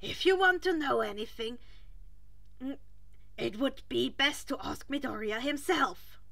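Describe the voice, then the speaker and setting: A woman voicing an earnest, loyal, anxious teenage boy in a story, halting and emphatic. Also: sits high, lively intonation, gravelly, a bit bright, no room sound, full character voice. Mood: nervous, defensive.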